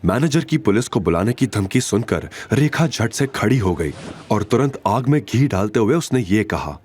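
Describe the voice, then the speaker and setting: A man's voice: lowish voice, big pitch swings, moderate pace, darkish tone, breathy edge. A man narrating a scripted audio drama in a dramatic storytelling style. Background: background music.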